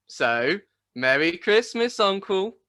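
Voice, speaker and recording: A man playing a cheerful, friendly gentleman nephew in a radio play, hearty and genial in manner. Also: high-pitched, big pitch swings, takes it slowly, clear, resonant tone, exaggerated voice.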